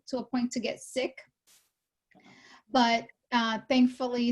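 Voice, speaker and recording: A woman in her thirties from Brazil, a real estate executive, telling her story as an interview guest in a lively conversational way, correcting herself as she goes. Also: a little high. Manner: animated storytelling with laughs and self-corrections. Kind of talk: casual interview chat.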